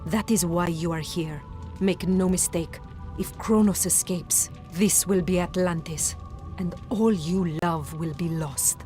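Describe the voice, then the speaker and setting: Woman playing a stately, low-voiced goddess. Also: steady tone, unhurried, warm tone, faint music behind, natural voice. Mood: warning. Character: stately goddess guiding a hero, solemn and wise. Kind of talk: video game cutscene acting.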